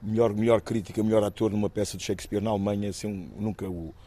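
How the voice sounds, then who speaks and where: A man in his fifties from Guinea-Bissau speaks quickly but haltingly, circling back over his words with fillers and restarts. His voice sits low and mellow. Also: steady tone. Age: 50s. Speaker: interviewee, actor and musician. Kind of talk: reflective personal interview.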